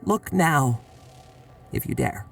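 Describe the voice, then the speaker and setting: A man playing a sinister, commanding astronomer in a radio horror drama, with a creaky voice and cryptic, ominous delivery. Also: mid-range voice, sing-song, slow, faint music behind, full character voice. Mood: cryptic, menacing.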